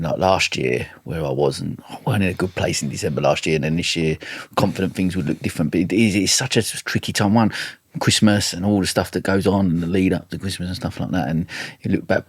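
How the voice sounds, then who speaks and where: Male host, casual, friendly, says mate, deep voice. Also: slightly rough, no echo, clean studio sound. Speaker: podcast host. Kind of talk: casual interview chat.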